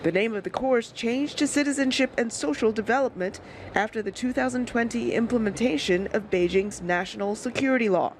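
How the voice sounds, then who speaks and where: A woman reporter reading scripted news narration in a measured, even delivery. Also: fairly high pitch, slight background noise.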